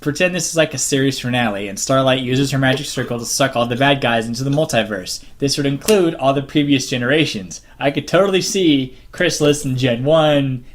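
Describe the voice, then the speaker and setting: A man in a casual fan panel discussion, halting, repeating phrases and swearing casually. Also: medium pitch. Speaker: podcast panelist.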